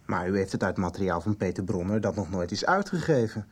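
A man's voice: sits low, lively intonation, brisk, breathy edge. A male actor playing an earnest, searching young dreamer in a radio soap, speaking hesitantly and questioningly. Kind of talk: Dutch radio soap acting.

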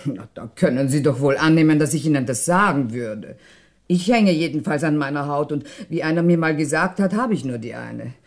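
A woman's voice: low-pitched, expressive, fairly quick. An actress playing an anxious, talkative widowed landlady in a 1960s German radio crime drama, exclaiming dramatically. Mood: wary.

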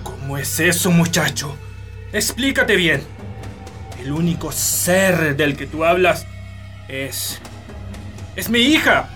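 A man's voice: on the high side, animated, takes it slowly, long pauses, pushed and bright, soft background music, full character voice. An actor with a Chilean accent playing a stern, scornful hacienda patron and father in a radio drama, haughty and threatening. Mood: outraged. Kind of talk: Spanish-language radio drama acting.

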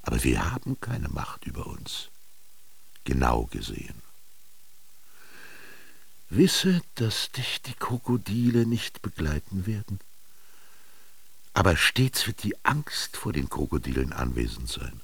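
A wry old Egyptian peasant looking back on his life in a radio drama. The voice is very low and full of gravel. He philosophizes in a slow, earthy way, with dramatic pauses. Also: no echo, heavily acted. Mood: brooding. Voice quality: gravelly, husky. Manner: slow, brooding, expressive storytelling with dramatic pauses. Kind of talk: literary radio drama monologue.

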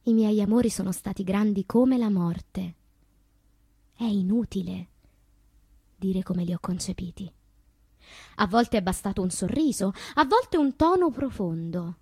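A slow, husky, anguished woman poet. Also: mid-range voice, expressive, a little mellow, no echo. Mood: intense, musing. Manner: slow, declamatory, with long pauses. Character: anguished woman poet recalling loves, madness and death. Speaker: stage actress. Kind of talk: theatrical poetic monologue.

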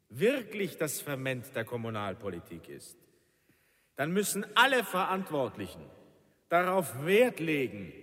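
A man's voice: a little high, a bit bright. An actor playing a pompous male politician delivering a declamatory speech in a German radio drama. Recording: reverberant large hall.

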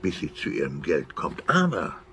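An actor playing a jumpy, blustering older emigrant back from America, mixing in English phrases, in a radio play. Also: male voice, very deep voice, wildly swooping pitch, normal speed, background noise, full character voice. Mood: confiding. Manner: indignant, peppered with English phrases.

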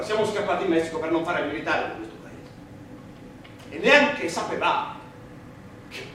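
An actor playing an earnest Italian immigrant worker and anarchist on trial, giving halting, plain-spoken theatrical testimony in an Italian accent. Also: male voice, on the high side, sharp tone. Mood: wry, emphatic. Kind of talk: theatrical monologue, courtroom testimony. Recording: very echoey, far-off mic, noisy stage recording.

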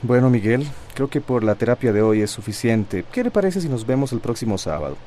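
An actor playing a calm male psychologist in a radio drama, measured and questioning, with a Costa Rican accent. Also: mid-range voice, slight background noise, close to an everyday voice. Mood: calm, probing.

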